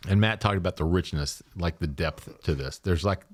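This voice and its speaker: A man's voice: low-pitched, normal speed, slight background noise. A man hosting a casual tasting chat in a podcast studio, joking and handing the floor to each guest in turn. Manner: lively, joking, hands the floor round. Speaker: podcast host.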